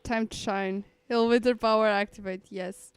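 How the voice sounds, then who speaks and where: A woman's voice: mid-range voice, fairly even intonation, moderate pace, clean tone. A woman game streamer giving casual, playful live commentary with somewhat hesitant phrasing.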